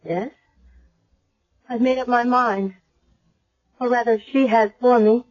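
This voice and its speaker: An actress playing a young woman with amnesia, speaking gently and hesitantly in a 1970s radio drama. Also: on the high side, steady tone, takes it slowly. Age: young adult. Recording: dull lo-fi vintage radio recording.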